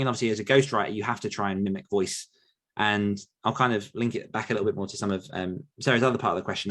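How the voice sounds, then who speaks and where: Man, English accent, low voice, casual Q&A, rambling, filler words. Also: fairly bright tone. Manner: rambling, many fillers and restarts. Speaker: podcast host answering questions. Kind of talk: casual Q&A chat.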